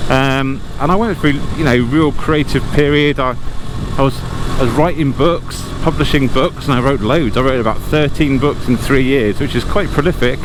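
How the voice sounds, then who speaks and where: A British man talking candidly and casually, thinking aloud in a rambling monologue while riding a motorbike, on a lavalier mic in his helmet. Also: a little high. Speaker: motorcycle vlogger. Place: outdoors, riding a motorbike through city traffic. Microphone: lavalier inside a helmet. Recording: loud engine and traffic noise. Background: motorbike engine, traffic.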